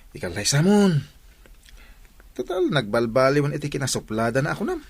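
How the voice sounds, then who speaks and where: A man's voice: medium pitch, huge pitch swings, brisk, breathy edge, full character voice. A man acting a jovial, teasing friend who urges his pal along in a radio comedy drama. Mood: persuasive. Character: jovial, teasing male friend urging marriage.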